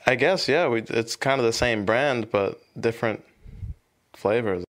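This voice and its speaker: A man's voice: mid-range voice, unhurried, fairly bright tone. A man giving casual interview answers in an offhand, slightly hesitant way.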